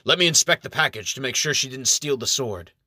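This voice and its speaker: A man's voice: mid-range voice, animated, a little fast, sharp tone, no echo. A man voicing a stern male guard who barks orders. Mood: alarmed. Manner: barking orders.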